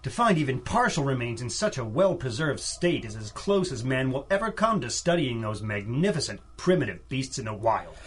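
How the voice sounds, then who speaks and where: A man's voice: mid-range voice, sing-song, normal speed, few pauses, fairly bright tone. A man playing an earnest young lab assistant in a radio drama, polite and deferential with a dramatic delivery. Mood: curious, amazed. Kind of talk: 1930s-style radio drama acting.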